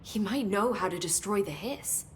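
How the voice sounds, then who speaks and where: A woman's voice: medium pitch, lively intonation, normal speed, a little hiss or hum, close to an everyday voice. An actress voicing an eager woman researcher in a game who explains things in a chatty, nerdy way. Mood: helpful. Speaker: research scientist guiding the hero.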